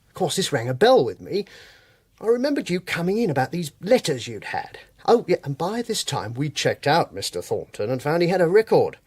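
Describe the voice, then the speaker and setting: An actor playing a blunt, knowing police detective inspector in a radio drama, with a deliberate, dry, colloquial manner. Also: male voice, a little high, big pitch swings, darkish tone, husky, no echo. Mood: grave, probing.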